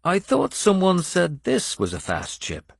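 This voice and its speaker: A man's voice: high-pitched, wildly swooping pitch, a little slow, vocal fry, husky, no room sound, studio quality, pitched above the performer's own voice, exaggerated voice. A man voicing a wry, anxious warrior companion in an audiobook adventure tale. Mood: concerned. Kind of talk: audiobook character dialogue.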